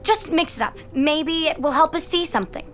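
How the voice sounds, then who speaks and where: Eager, bright-voiced kid detective. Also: female voice, high voice, lively intonation, normal speed, faint music behind. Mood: excited, focused. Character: Niels, keen determined kid detective leading friends. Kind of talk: audio drama dialogue.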